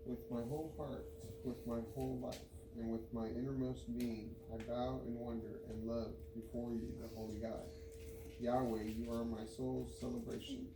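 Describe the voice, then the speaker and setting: A man's voice: mid-range voice, level tone, normal speed. A man reading a psalm aloud as a scripture reader. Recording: roomy, distant mic, clear background noise.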